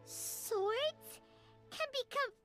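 A woman voicing a tiny, childlike floating fairy companion in a video game, excitable, squeaky and exclamatory. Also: very slow, exaggerated voice. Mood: curious alarm. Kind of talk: cartoonish video game dialogue. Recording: loud music under the voice.

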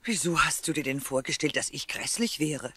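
An actress playing a good-humoured, unflappable schoolteacher in a children's radio play, with a wry, indulgent manner. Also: female voice, deep voice, lively intonation, normal speed, a bit bright, breathy edge, no echo, heavily acted. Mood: amused.

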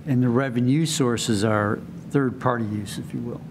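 Man, committee member, asks clarifying questions in a town hall auditorium, faint rumble behind. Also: normal speed. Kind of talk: meeting discussion.

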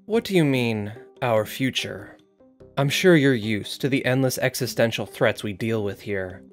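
A man's voice: mid-range voice, expressive, unhurried, soft background music, lightly acted. Casting: male actor as defiant site director for audio drama. Formal, insistent, sardonic edge. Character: defiant male research site director, arguing his case. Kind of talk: scripted audio drama interview.

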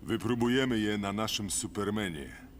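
An actor playing an arrogant, calculating tycoon villain in a dubbed cartoon, with a smooth, commanding manner. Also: male voice, lowish voice, normal speed, slight background noise, natural voice. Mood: coldly scheming.

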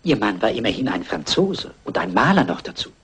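An actor playing a cautious, deferential gentleman who helps a lady sleuth in a radio play, polite, hesitant and mild. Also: lowish voice, sing-song, fairly quick, a little hiss or hum. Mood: cautious concern. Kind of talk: German crime radio play acting.

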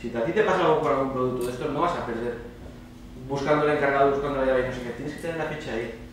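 A man's voice: medium pitch, very fast. A man with an accent from Spain giving an informal conference presentation, colloquial and emphatic, often checking in with short tag questions. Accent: Spanish (Spain). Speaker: speaker at a student congress. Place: indoor lecture hall, speaker standing on stage. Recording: roomy, distant mic with faint noise.

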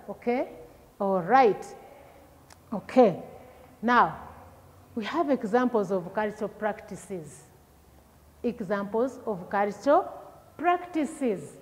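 A middle-aged Ugandan woman teaching a lesson to pupils, explaining slowly, asking them questions and repeating key words. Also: fairly high pitch, darkish tone, in a large hall. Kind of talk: recorded classroom lesson for pupils. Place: classroom with projector screen.

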